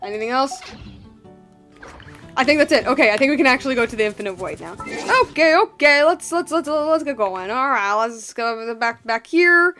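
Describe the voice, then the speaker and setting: A woman's voice: high voice, expressive, moderate pace, sharp tone, faint music behind. A woman giving casual, rambling gameplay commentary, slangy and informal, repeating herself for emphasis. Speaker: video game streamer.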